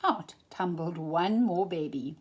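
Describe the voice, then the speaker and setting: A woman storyteller reading a children's storybook aloud, expressive and emphatic, drawing out key words. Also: lowish voice, slow, slightly rough.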